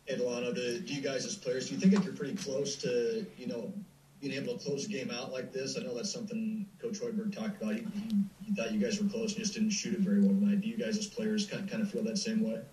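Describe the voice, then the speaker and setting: A male sports reporter fires off a quick question, tripping over his words. His mellow voice sounds distant in an echoey room. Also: medium pitch. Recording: roomy, distant voice.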